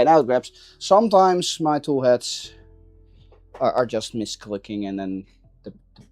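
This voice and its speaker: A man chatting casually while working on a build, thinking aloud in a halting way and repeating words. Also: mid-range voice, a little mellow, no echo. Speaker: maker livestreaming a 3D printing build.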